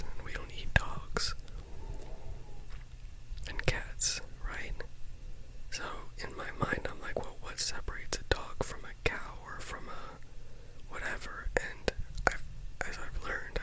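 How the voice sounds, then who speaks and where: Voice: a little slow, low-quality recording. An ASMR host whispering a loose, rambling monologue into a close mic, full of restarts and self-talk.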